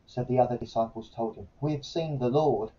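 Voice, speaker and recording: A man training as a vicar, reading a scripture passage aloud in a steady way. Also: mid-range voice, brisk. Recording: muffled, dull sound.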